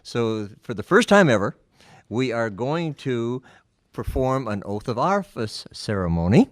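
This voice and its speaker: Male election chairman at a club meeting, medium pitch, jocular and halting, informal ceremonial announcements. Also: very animated.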